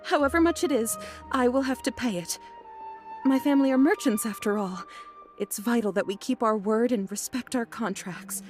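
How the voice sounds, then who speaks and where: A woman's voice: fairly high pitch, moderate pace, lightly acted. A woman playing a polite, anxious, apologetic young merchant in a video game, earnest and a little hesitant. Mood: resigned, dutiful. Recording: music behind the voice.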